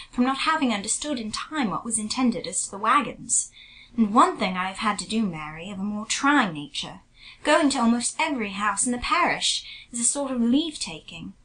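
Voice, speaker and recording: A woman playing a gentle, patient, sensible young gentlewoman in a dramatised classic novel, politely soothing and reasoning. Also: medium pitch, rough recording.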